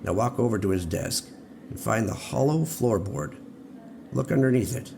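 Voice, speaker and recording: An elderly male prisoner, played by a man in a radio drama, speaks from deep in his register with a heavy rasping creak. He is calm, repentant and cryptic, pressing his points in a measured way. Clear background noise surrounds him. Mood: measured, urging. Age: older. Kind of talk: audio drama acting. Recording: noisy ambience behind the voice.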